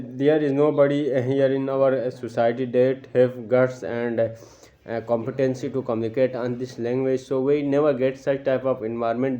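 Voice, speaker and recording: Halting young Pakistani man, Shina speaker. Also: mid-range voice, mellow, dull audio. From Gilgit-Baltistan, Pakistan. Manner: halting, many filler pauses and restarts. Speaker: student speaking to camera. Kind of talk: unscripted personal talk.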